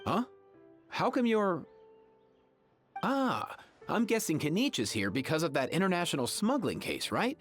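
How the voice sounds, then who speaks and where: A man's voice: high voice, big pitch swings, a little slow, long pauses, a bit bright, faint music behind, exaggerated voice. A man voicing a laid-back, teasing young buddy in a video game, casual and wry. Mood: surprised, teasing.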